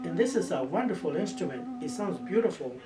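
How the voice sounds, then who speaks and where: A man's voice: sits high, sing-song, fairly quick. A man whose native language is Spanish, a quena player explaining eagerly in a documentary and often restarting words. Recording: distant mic with loud music behind.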